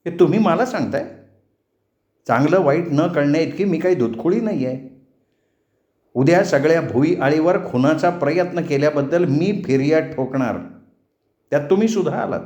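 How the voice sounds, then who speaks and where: A man voicing a fearless, sharp-tongued young village girl with an indignant, assertive manner in a story. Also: fairly high pitch, lively intonation, normal speed, long pauses, roomy sound, highs cut off, voice pushed up, close to an everyday voice.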